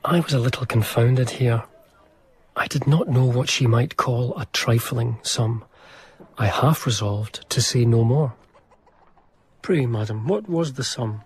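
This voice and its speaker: An actor playing an eager, reverent young gentleman diarist in a radio drama, speaking formally and effusively. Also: medium pitch, a little slow, slightly rough. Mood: gallant. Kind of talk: radio drama dialogue and diary narration.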